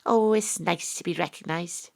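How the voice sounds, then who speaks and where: Welsh female detective, wry, blunt, lowish voice, first-person audio drama narration. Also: normal speed, creaky. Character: wry, brash, independent-minded female investigator narrating her case.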